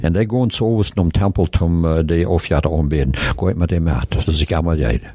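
A man in his forties with a Low German Mennonite accent who talks hesitantly in a casual Bible discussion. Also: fast talker, warm tone, no echo. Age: 40s. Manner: hesitant, searching for words. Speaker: discussion participant.

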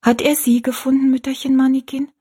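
A woman's voice: high voice, little pitch movement, fairly quick, mellow, husky, voice pushed up. A woman voicing an eager, sweet young girl who talks earnestly and pleadingly.

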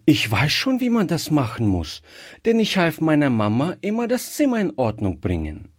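A man voicing a bright, innocent little girl in a storybook, earnest and inquisitive. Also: on the high side, wildly swooping pitch, normal speed, breathy edge, no room sound, studio quality, voice pushed up, full character voice. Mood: eager, confident. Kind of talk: acted storybook dialogue.

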